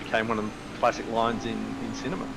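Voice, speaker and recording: Lower-voiced man sharing trivia. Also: flat delivery, fast. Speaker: sim racing commentator. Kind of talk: casual race commentary chat. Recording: noisy, with background hum.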